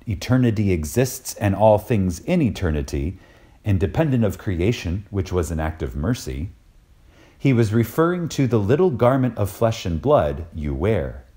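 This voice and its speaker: A man delivers a lecture reading in a deep, mellow voice. His pitch moves widely as he stresses key words. He is reverent and dramatic, leaving deliberate pauses between phrases. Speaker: reader of a recorded lecture.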